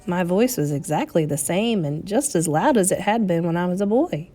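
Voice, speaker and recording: Woman, storybook reader, expressive narration, animated intonation, music in the background. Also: fairly low pitch, moderate pace. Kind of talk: expressive read-aloud narration of a children's story.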